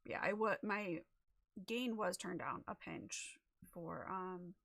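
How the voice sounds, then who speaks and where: A woman chatting casually as she sets up a livestream, a little raspy and losing her voice, rambling with many fillers into a desk microphone. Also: on the low side, takes it slowly, a bit bright. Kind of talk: casual chat while setting up a stream.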